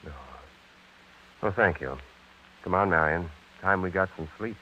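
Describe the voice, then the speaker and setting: Low-voiced, earnest radio-drama scientist. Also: male voice, unhurried. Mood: probing. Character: earnest, probing young male bacteriologist and husband. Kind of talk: 1930s radio drama acting. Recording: dull, hissy vintage radio recording.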